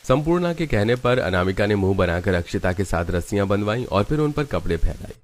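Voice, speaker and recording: A man's voice: on the low side, expressive, a little fast, slight background noise. A man narrating a scripted cartoon family story as its storyteller.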